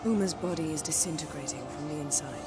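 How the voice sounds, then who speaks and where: Over clear background music, an actress plays a commanding, weary sorceress from a fantasy video game. Her voice sits somewhat low, and she words everything formally and exactly, like one used to being obeyed. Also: fast, close to an everyday voice. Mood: grave, composed. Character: stern, controlled sorceress tending a dangerous spell, weary. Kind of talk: dramatic video game acting.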